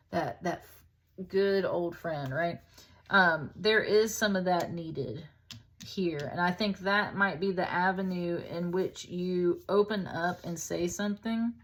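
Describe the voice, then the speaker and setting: A woman giving a casual, conversational tarot reading in an informal, chatty way, as if thinking aloud. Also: lowish voice. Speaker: tarot reader, host.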